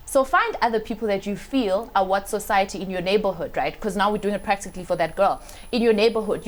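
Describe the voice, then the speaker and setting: A middle-aged woman, a confidence coach appearing as a guest, speaking expressively and often tagging her points with "right?". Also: medium pitch. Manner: expressive, frequent "right?" tags. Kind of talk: casual talk show guest.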